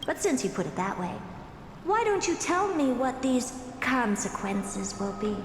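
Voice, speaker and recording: A woman's voice: on the high side, big pitch swings, a little slow. A woman playing a haughty, curious genius in a video game, with a teasing, condescending manner. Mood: scornful. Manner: teasing, superior. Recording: echoey with long reverb. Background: music.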